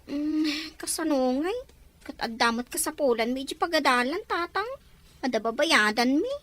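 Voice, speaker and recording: Very high, petulant Ilocano student. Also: female voice, expressive, moderate pace, a bit bright, no echo. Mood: petulant pleading. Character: pleading, petulant young student arguing with parents. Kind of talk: Ilocano radio drama acting.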